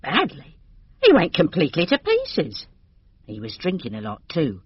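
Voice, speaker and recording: A woman's voice: low-pitched, wildly swooping pitch, normal speed, slightly rough, no echo, lo-fi sound, heavily acted. An actress playing a gossipy, deferential English lady's maid who talks in a confiding, colloquial way in a radio drama. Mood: worried, confiding.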